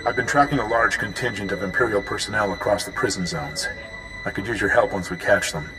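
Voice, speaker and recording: An actor playing a grave, dutiful military commander of a prisoner unit in a video game, speaking earnestly and formally. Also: male voice, on the low side, fast, natural voice. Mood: grave, resolute. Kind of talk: video game dramatic dialogue. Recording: long reverb, loud music underneath.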